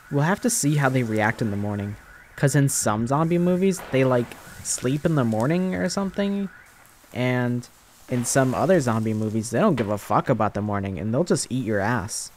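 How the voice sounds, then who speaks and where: A man playing a young slacker boyfriend in a zombie ASMR roleplay, flippant and foul-mouthed, rambling casually with a deadpan manner. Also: mid-range voice, a little hiss or hum, lightly acted.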